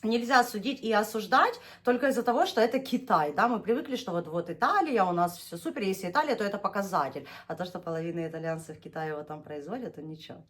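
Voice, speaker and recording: A young woman talks through a yarn unboxing for her knitting channel in a relaxed, chatty way. Her voice sits at an ordinary middle pitch. She gushes, laughs easily and stretches out her words as she lingers over each shade. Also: fast talker, few pauses. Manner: chatty and gushing, laughs often, drawls when naming shades. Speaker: knitting vlogger showing yarn. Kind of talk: casual unboxing chat.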